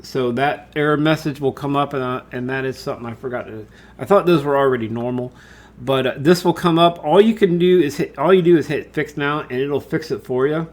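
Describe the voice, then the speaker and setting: A man giving an informal screen-share software tutorial, talking through his steps and thinking aloud as he works. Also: mid-range voice, fairly quick. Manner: casual, thinking aloud, addresses viewers as guys. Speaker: tutorial host.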